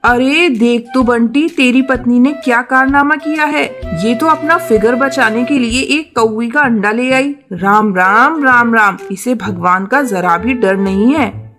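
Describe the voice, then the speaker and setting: A woman's voice: a little high, measured pace, music in the background, voice pushed down, natural voice. A woman voicing a demanding, scolding older mother-in-law bird in a cartoon, nagging and insistent. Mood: scandalized. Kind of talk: Hindi cartoon story dialogue.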